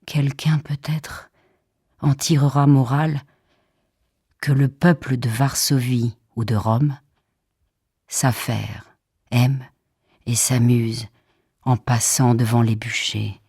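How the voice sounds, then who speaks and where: A woman reads poetry for an audiobook in a solemn, measured, expressive way, with deliberate pauses and hushed phrases. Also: rumbling low, slightly rough, no room sound, clean studio sound. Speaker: poetry reader. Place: radio theatre studio. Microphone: close studio microphone.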